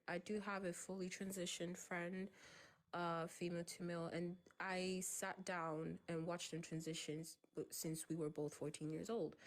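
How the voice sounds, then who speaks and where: Brief: twenties woman, low gravelly voice, measured and hesitant. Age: 20s. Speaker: debate participant. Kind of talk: live debate.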